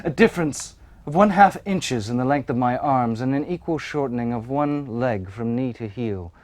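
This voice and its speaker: An actor on a theater stage playing a tormented, grandiose Victorian murderer in formal, declamatory monologue, with feverish piety. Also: male voice, mid-range voice, lively intonation, normal speed, a little mellow, lightly acted. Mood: grave, clinical. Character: tormented male Victorian serial killer, grandiose, feverishly pious. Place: theater stage before an audience.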